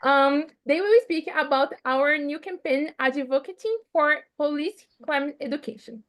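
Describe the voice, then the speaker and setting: High-voiced woman with a Portuguese accent. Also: normal speed. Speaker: event host. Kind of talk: online panel moderation. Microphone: video call.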